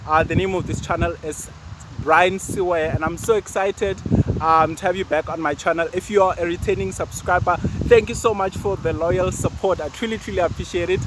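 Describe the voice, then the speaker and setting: Brief: young host, a touch high-pitched, friendly and chatty, street noise around him. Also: male voice, steady tone, a little fast. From South Africa, Limpopo. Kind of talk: informal interview chat. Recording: noisy outdoor recording with traffic. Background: street and vehicle noise.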